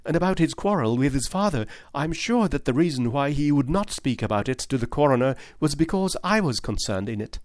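A man's voice: on the high side, lively intonation, a little fast, hardly any pauses, no room sound, clean studio sound, voice pushed up, close to an everyday voice. A man reading aloud, voicing an earnest, distressed young woman who pleads insistently in a raised voice. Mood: earnest pleading.

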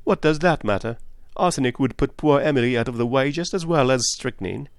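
A man's voice: medium pitch, fairly quick, dry recording, clean studio sound, pitched above the performer's own voice, lightly acted. A man voicing a blunt, stubborn middle-aged woman in an audiobook, brusque and vehement in manner. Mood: indignant. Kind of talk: audiobook character voice.

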